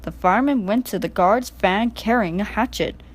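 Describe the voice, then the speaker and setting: A woman narrating a scripted children's storybook in an expressive reading style. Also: medium pitch, normal speed.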